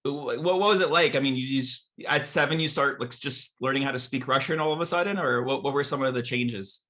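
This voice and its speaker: A man's voice: mid-range voice, fairly quick, sharp tone. A man in his thirties hosting a podcast interview, asking friendly questions with lots of fillers and restarts. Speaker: podcast host and pastor. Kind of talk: casual interview chat.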